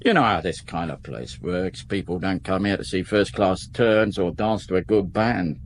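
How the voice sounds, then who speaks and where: An actor playing a defensive nightclub owner in a radio drama, talking in rough everyday grammar. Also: male voice, low-pitched, normal speed, a little hiss or hum.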